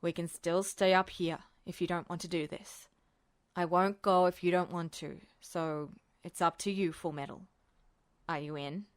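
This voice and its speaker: A woman voicing a self-assured adult male army colonel in measured, coaxing dialogue for a fan fiction audiobook. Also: lowish voice, fairly even intonation, pitched below the performer's own voice, natural voice. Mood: gentle, sincere.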